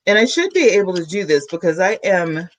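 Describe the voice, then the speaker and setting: A woman YouTuber, chatting excitedly to her viewers from home while she sets up a new microphone. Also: on the low side, a little fast. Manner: chatty, excitable, talks to chat. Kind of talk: casual vlog chat to viewers. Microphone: camera mic, then a new plug-in USB mic.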